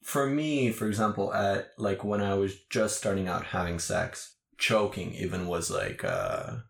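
Low, gravelly man in a roomy space. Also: expressive, unhurried. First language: Russian. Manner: curious, probing questions with dry quips. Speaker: interviewer. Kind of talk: casual interview chat. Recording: roomy echo.

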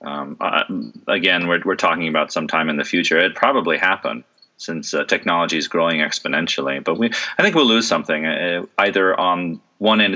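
A man's voice: low-pitched, sharp tone, lo-fi sound. A middle-aged man who works as a voice and stage actor, talking through an interview in a thoughtful way with many fillers and restarts. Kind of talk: relaxed interview conversation.

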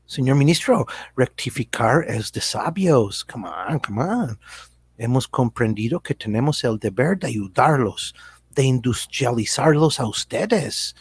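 A man's voice: medium pitch, animated, a little slow, breathy edge, dry recording, heavily acted. A man playing a slick, evasive American businessman in a satirical radio drama, speaking Spanish with an American accent, hesitant and dropping in English words. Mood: persuasive, grandiose. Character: slick, evasive American businessman selling his multinational company.

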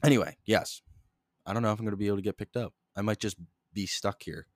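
A young man hosting a solo podcast, rambling in a flat monotone, swearing constantly and jumping between topics, close to the mic. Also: lowish voice, fast, no echo. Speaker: podcast host.

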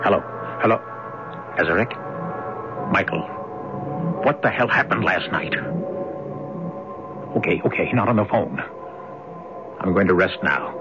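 A man's voice: low-pitched, expressive, a little slow, pauses often. An actor playing a curt, secretive man on the telephone in a 1970s radio drama. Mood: tense, secretive. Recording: muffled old radio, loud music.

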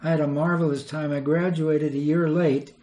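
A man's voice: fairly high pitch, little pitch movement, moderate pace, warm tone. An older man, a retired missionary, telling rambling anecdotes in an interview and chuckling as he goes. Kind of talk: storytelling interview.

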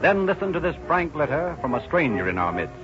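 A man announcing and narrating in a formal, measured 1930s radio style. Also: fairly high pitch, very animated. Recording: muffled old radio recording, music behind.

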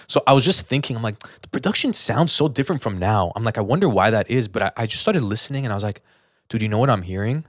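A man's voice: fairly low pitch, expressive, fast, dry recording, clean studio sound. A man co-hosting a podcast, chatting casually and earnestly, informal and given to repeating himself for emphasis. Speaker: podcast co-host.

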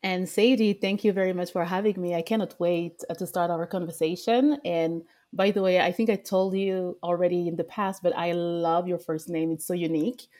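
A woman's voice: on the low side, fairly even intonation, moderate pace, few pauses, mellow. A woman from France with a French accent, a podcast guest who speaks with frequent fillers and restarts. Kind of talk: podcast interview.

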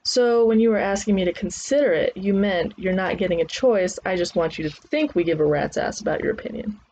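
A woman's voice: mid-range voice, brisk, darkish tone, highs cut off, a little hiss or hum, lightly acted. A woman voicing a jaded, sarcastic young fighter trainee with a defiant manner in audiobook dialogue. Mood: sardonic.

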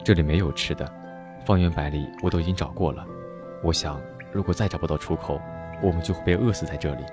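A man acting a cheerful, persistent young martial-arts hero in a radio drama, with a teasing, expressive delivery. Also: sits low, fast, natural voice. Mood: serious. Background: music score underneath.

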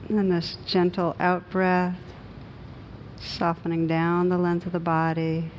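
A woman meditation teacher leading a guided meditation in a gentle, soothing, unhurried manner with long pauses. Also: monotone, highs cut off. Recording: noisy recording with low rumble.

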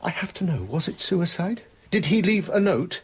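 A man's voice: high-pitched, big pitch swings, normal speed, slightly rough, heavily acted. An actor playing an agitated, insistent man in his thirties with a British accent, in a radio drama. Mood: frantic. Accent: British English. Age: 30s. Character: distraught, emphatic well-dressed man in his thirties.

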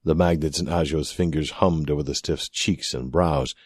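A man's voice: extremely low pitch, fairly even intonation, normal speed, husky, no echo, studio quality. A man narrating a piece of fiction from a script as an audiobook-style reader. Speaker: story narrator.

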